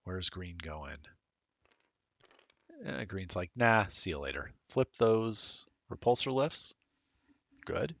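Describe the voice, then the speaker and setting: A man's voice: sits low, lively intonation, slow, long pauses, fairly bright tone, no echo. A middle-aged man rambling casually while commentating a streamed tabletop game, self-deprecating and chatty.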